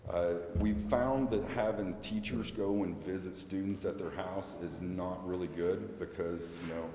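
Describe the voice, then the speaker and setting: An American man answering questions at a public meeting as a school representative, explaining haltingly and repeating words. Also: deep voice, mellow. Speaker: school representative addressing a city council. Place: large indoor council chamber. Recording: echoey meeting hall with background noise.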